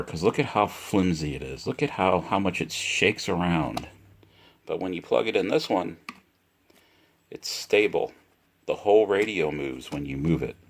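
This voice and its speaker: A man giving a casual, unscripted product demonstration, speaking deliberately and repeating himself for emphasis. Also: deep voice, vocal fry. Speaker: product reviewer.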